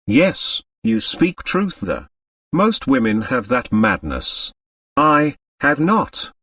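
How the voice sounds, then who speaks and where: A man voicing a shrewd, mercenary Russian woman in an audiobook, composed and measured, showing little emotion. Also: mid-range voice, big pitch swings, fairly bright tone, no echo, studio quality, distant mic, heavily acted. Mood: reflective. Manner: measured, composed, matter-of-fact.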